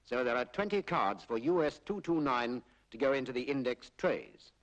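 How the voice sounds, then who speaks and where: A British man narrating a 1940s public information film in a formal, measured, explanatory way, on a period film soundtrack. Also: medium pitch, expressive, highs cut off. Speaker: documentary narrator.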